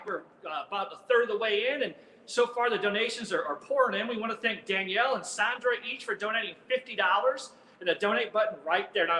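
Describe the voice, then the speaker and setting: High, bright, pleading male host. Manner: pleading, emphatic, informal. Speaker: fundraiser host at a radio station. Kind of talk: live unscripted donation appeal. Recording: echoey room with faint noise.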